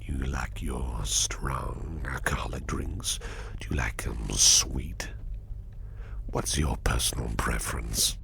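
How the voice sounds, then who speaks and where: Casting: male performer as attentive, flirty boss for whispered ASMR roleplay; very slow, rumbling bass, breathy, soothing and protective. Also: a bit bright, slight background noise, full character voice. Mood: attentive, pleased. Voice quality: breathy whisper, very low and gravelly. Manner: unhurried, reassuring, personal attention to listener. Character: attentive businessman boss, doting, softly flirtatious.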